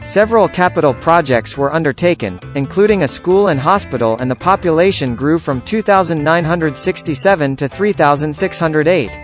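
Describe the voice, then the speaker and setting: A man's voice: a little high, animated, normal speed, hardly any pauses. A man reading a scripted documentary introduction as the program's narrator. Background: light plucked guitar music.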